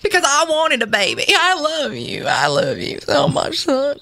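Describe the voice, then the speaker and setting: Woman putting on a tearful Southern mother, high and pleading. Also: huge pitch swings, moderate pace, creaky, pushed and bright, exaggerated voice. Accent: American South. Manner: melodramatic, pleading, cloying. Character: pleading birth mother, Southern, sad and anxious.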